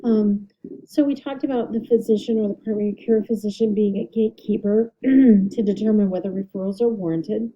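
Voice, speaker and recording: Slightly hoarse woman lecturing on a dull recording. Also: medium pitch, fairly even intonation, brisk, mellow. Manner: explanatory, with fillers and self-corrections. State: slightly hoarse, clearing throat. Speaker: instructor.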